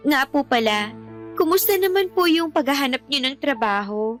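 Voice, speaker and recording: A nineteen-year-old Filipina daughter in a radio drama. Her voice is high, sweet and respectful, earnest in a loving way. Quiet music runs underneath. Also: animated, normal speed. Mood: earnest, wistful.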